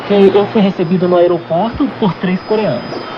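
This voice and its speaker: A muffled radio recording with clear noise carries a man's voice. He is a guest recounting his travels casually, repeating phrases as he goes. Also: high-pitched, fairly quick.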